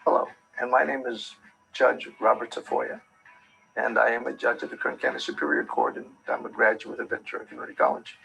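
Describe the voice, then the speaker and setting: A man who is a judge, introducing himself quickly and formally in a recorded testimonial. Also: medium pitch, little pitch movement, creaky, bright, forceful tone, faint music behind, rough recording.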